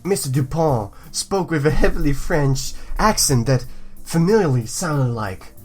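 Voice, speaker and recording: Woman reading a dating game out loud n joking about it, very lively pitch, music behind. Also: measured pace, slightly rough. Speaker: let's play host. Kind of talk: reading a visual novel aloud with playful commentary.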